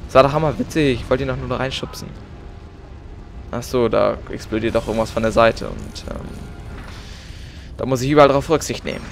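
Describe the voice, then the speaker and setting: A man's voice: mid-range voice, lively intonation, a little fast, gravelly, long pauses, soft background music. A young man casually commenting on his own gameplay, chatty and joking. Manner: chatty, joking, mixes in playful English. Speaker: let's play gamer.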